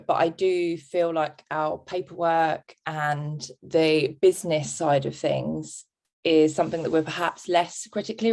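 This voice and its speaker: Low, gravelly woman presenting. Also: darkish tone. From England. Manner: reflective, measured, frequent "um". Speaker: guest speaker, childminder. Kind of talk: webinar presentation.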